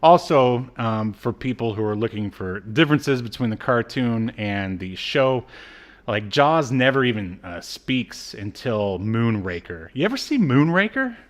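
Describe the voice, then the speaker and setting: Male podcast co-host, mid-pitched, chatty and comic, walks through a story with mocking disbelief. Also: sing-song, measured pace. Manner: incredulous, mocking asides. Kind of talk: casual comic episode recap.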